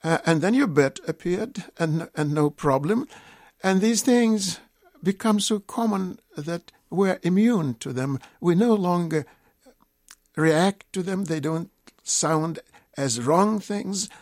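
Older man, Russian accent, native Russian speaker, raised pitch, dry studio sound, deliberate, hesitant, opinionated interview guest. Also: lively intonation, darkish tone, no room sound. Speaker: guest expert on language. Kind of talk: live radio interview.

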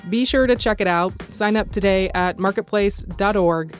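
A woman's voice: mid-range voice, normal speed, faint music behind. A woman who hosts a podcast, reading an upbeat scripted promo. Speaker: podcast host.

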